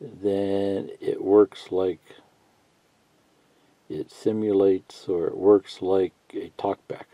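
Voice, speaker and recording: A man giving a casual, unscripted how-to tutorial, thinking out loud in a halting, folksy way and reading bits of instructions aloud. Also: sits low, little pitch movement. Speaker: hobbyist instructor. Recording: muffled lo-fi sound, roomy echo.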